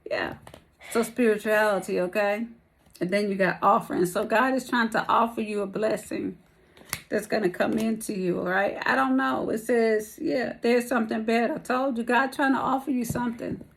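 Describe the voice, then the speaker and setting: A woman's voice: on the high side, fairly even intonation, normal speed, vocal fry, low-quality recording. Chatty woman losing her voice. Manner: rambling, slangy, calls listeners baby and y'all. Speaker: tarot reader. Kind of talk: casual spiritual tarot reading.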